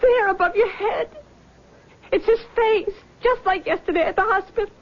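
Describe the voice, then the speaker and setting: Nervous, high-voiced young woman. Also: moderate pace. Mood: frightened, agitated. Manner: hesitant, stammering. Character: nervous, troubled young woman haunted by boyfriend's spirit. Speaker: actress in radio drama. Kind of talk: 1970s radio drama acting. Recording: muffled, noisy old radio recording.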